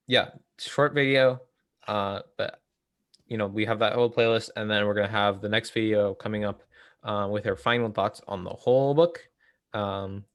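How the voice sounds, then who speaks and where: A young man with a fairly low voice, recorded dry and close. He talks casually about political theory as a co-host, hedging and feeling his way through each thought. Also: dry recording. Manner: halting, hedging, thinking aloud. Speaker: co-host of a book read-along series.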